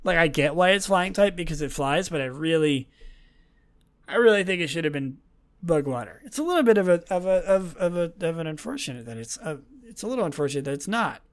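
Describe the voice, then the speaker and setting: A man hosting a casual solo podcast in a rambling, self-deprecating way, his voice slightly raspy and gravelly from a long day of talking. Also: a little high, lively intonation, fairly quick. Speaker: podcast host. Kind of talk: casual solo podcast chat about a video game franchise.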